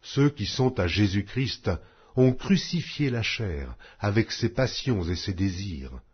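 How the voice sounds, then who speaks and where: A man reading scripture aloud in a slow, solemn, measured way. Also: lowish voice, lively intonation, husky, highs cut off. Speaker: Bible reader.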